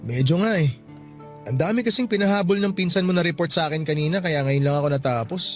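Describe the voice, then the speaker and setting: Man playing considerate young office guy, Filipino accent, fairly high pitch, friendly, radio drama, faint music. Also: quick pace, lightly acted. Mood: friendly, attentive. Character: friendly, considerate 29-year-old male bank employee.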